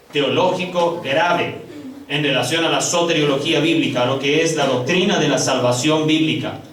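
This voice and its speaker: Man, pastor, emphatic sermon, hushed then soaring, slow stressed words, distant mic, faint hum behind. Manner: emphatic preaching, swings from loud high peaks to hushed low stretches; addresses congregation as brothers, slow drawn-out stresses. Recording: echoey room, picked up from a distance.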